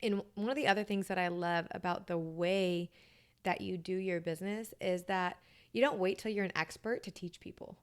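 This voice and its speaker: A woman's voice: on the low side, steady tone, normal speed, clear, resonant tone. A woman in her thirties hosting a podcast interview, chatty and encouraging toward her guest. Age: 30s. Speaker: podcast host. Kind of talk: casual interview.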